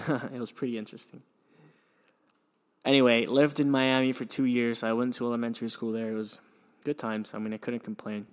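A man's voice: medium pitch, level tone, a little fast, clear, resonant tone. A young man from Venezuela hosting a podcast, telling his life story in a reflective, hesitant way with frequent restarts and sighs. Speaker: podcast host.

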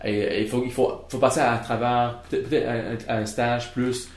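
A man with a Quebec French accent hosting a podcast, asking questions in a halting way and repeating words. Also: mid-range voice, flat delivery. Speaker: podcast host. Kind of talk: casual podcast conversation. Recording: echoey room.

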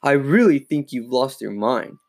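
A man's voice: mid-range voice, lively intonation, a little slow, a little mellow. Man playing a baffled, disapproving father. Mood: worried disapproval. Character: baffled, concerned, disapproving middle-aged father.

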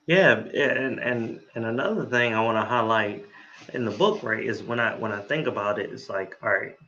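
A man's voice: medium pitch, normal speed, bright, forceful tone, dull audio. A man hosting an interview, talking with enthusiasm and tagging "right?" onto his sentences. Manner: enthusiastic, keeps adding "right?". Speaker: interview host. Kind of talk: casual interview chat.

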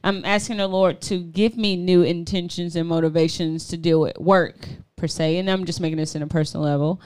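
A woman's voice: lowish voice, steady tone, fairly quick, darkish tone, clean tone. A young woman from the southern US co-hosting a casual faith talk show, chatty and laughing often. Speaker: co-host.

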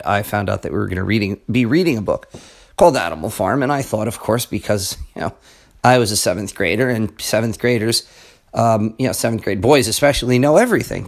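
Hoarse man in a casual monologue. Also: lowish voice. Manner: conversational, frequent ums and asides, earnest. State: raspier voice than usual. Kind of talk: casual spoken monologue on books and history.

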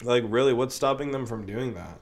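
A man's voice: medium pitch, brisk. A man, sick with a cold and sniffling, talking in a rambling, profane way with many fillers on his own podcast. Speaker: podcast host. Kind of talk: casual solo podcast commentary.

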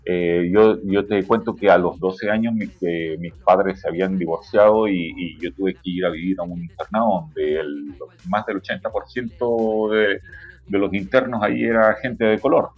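Middle-aged male guest, very low deep voice, Panamanian-Italian accent, rambling and hesitant, dull lo-fi audio. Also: moderate pace. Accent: Panamanian Spanish mixed with Italian. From Chile. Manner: rambling, many hesitations and fillers. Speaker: interview guest, hip hop musician. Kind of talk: casual conversation.

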